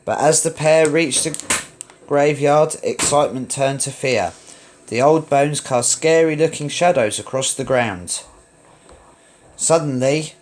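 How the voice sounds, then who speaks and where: A man reading a children's story aloud as narrator, in a dramatic, expressive read-along style. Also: mid-range voice, slight background noise.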